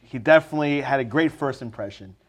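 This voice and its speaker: A male podcast host speaks in a halting, stop-start way, reaching for words with many fillers as he introduces and questions his guest. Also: mid-range voice. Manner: halting, full of fillers and restarts. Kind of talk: casual interview.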